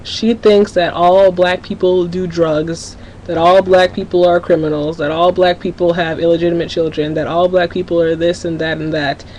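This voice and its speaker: A woman's voice: on the low side, level tone, normal speed, hardly any pauses, a little mellow, slight background noise, poor audio. A teenage girl from Minnesota talking candidly to her webcam at home in a rambling vlog, often restarting her sentences. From Minnesota, USA. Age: teens. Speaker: vlogger. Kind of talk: casual confessional webcam vlog.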